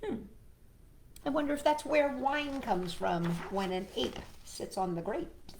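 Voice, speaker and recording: High, animated woman hosting children's storytime. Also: a little slow, a little hiss or hum. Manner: expressive, playful, talks directly to young listeners. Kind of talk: read-aloud storytime for children with chatty asides. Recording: echoey room.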